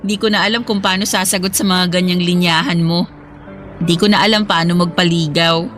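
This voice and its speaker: A woman's voice: fairly low pitch, fairly even intonation, a little fast, a bit bright, close to an everyday voice. A woman playing a teasing but guarded ex-girlfriend being courted again in an audio romance drama. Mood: coy. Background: music.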